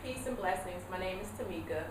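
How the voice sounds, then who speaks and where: A woman from South Jersey leading a group discussion, pausing and searching for her words as she asks questions. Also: medium pitch. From South Jersey, USA. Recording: very echoey room, far mic, noisy.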